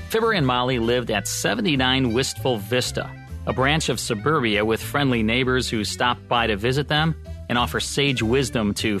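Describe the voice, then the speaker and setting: An American man with a medium-pitched voice narrates from a script as a radio host. He introduces vintage programs in a presenter's style, with faint music underneath. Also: a bit bright.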